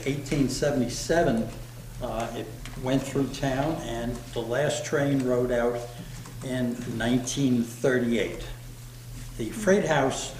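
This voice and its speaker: A man from the local historical society presenting to a town board in a meeting room, speaking loud without a microphone. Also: mid-range voice. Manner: loud, unamplified voice. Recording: echoey room with background noise.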